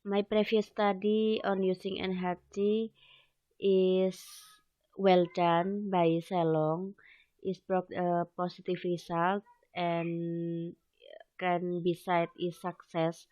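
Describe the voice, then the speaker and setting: Young woman, student presentation, hesitant halting English, low flat voice, dry close sound. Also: no room sound, muffled recording. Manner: hesitant, halting non-native English with frequent "okay" fillers. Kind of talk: academic presentation.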